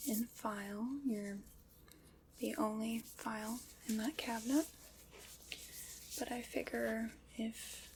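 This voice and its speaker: A woman whispering breathily in an ASMR roleplay, soft, unhurried, formal and reassuring. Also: fairly high pitch, gravelly, background noise.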